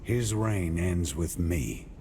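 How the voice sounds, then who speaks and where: Deep, grim warrior hero. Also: male voice, animated, heavily acted. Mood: grim, resolute. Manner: terse, stern, deliberate. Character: grim, resolute warrior hero set against his brother. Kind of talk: dramatic video game cutscene acting. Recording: loud music behind the voice.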